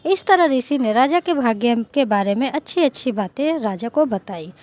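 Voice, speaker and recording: A woman's voice: on the high side, animated, normal speed, darkish tone. A woman reading a scripted moral story as a narrator.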